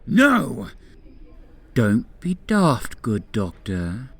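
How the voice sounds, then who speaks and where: An actor playing an unhinged male asylum patient who eats flies, with a husky voice, taunting slowly and lurching between shouts and whispers. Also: mid-range voice, huge pitch swings, heavily acted. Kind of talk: audio drama acting.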